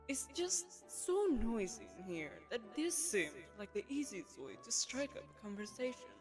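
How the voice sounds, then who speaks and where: A woman's voice: mid-range voice, lightly acted. A woman voicing a poised, commanding telepathic queen who hosts a lavish gala, speaking to the listener in a silken, condescending, persuasive way. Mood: gracious. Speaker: voice actress. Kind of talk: audio roleplay, spoken to the listener.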